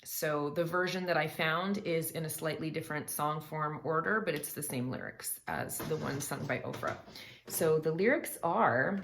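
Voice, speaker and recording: Low gravelly-voiced woman vocal coach. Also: expressive, normal speed, background noise. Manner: chatty and apologetic, explaining lyrics step by step. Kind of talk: casual reaction vlog talk.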